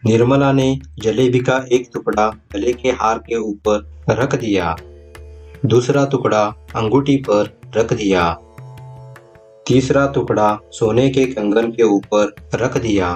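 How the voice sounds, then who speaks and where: A man's voice: medium pitch, slow pace, a little mellow. A man narrating a scripted moral tale as a storyteller. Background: faint music.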